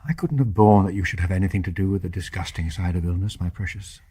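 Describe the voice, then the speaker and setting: An actor playing a devoted, bedridden English husband in a radio drama, husky, earnest and well-spoken in Received Pronunciation, brave and tender with bitter despair underneath. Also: male voice, low voice, steady tone, fast, mellow. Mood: tender, resigned. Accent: British Received Pronunciation. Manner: earnest, old-fashioned upper-class phrasing.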